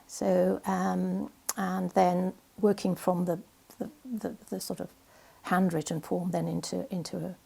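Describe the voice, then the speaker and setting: Hesitant woman poet, gravelly voice. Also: on the low side, flat delivery, a little slow, hardly any pauses, warm tone. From Wales. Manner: hesitant, many fillers and restarts. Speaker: poet being interviewed. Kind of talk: casual interview chat.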